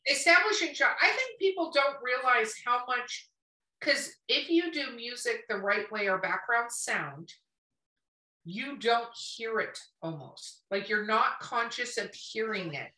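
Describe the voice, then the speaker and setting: A woman's voice: fairly high pitch, lively intonation, measured pace, sharp tone. A woman hosting a podcast in casual, unfiltered conversation. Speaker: podcast host. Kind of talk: casual, unfiltered interview chat. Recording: echoey room, distant from mic.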